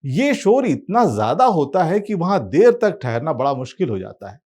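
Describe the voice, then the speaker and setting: A man's voice: fairly high pitch, sing-song, normal speed, darkish tone. A man presenting a satirical monologue to viewers in a wry, conversational storytelling manner.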